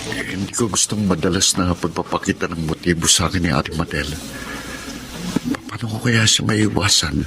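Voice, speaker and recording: A man's voice: deep voice, normal speed, loud music behind the voice. A man playing an earnest, respectful young married worker in a Filipino drama, speaking hesitantly and politely with a Filipino accent. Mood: troubled. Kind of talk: Tagalog radio-style drama acting.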